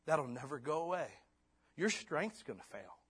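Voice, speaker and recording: A husky-voiced man in his fifties preaches to a church congregation. His delivery moves from soft, close asides to fervent, rising appeals, and he ends in prayer. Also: on the high side, very animated, normal speed. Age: 50s. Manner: swings between hushed and fervent. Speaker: pastor. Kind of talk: sermon ending in prayer.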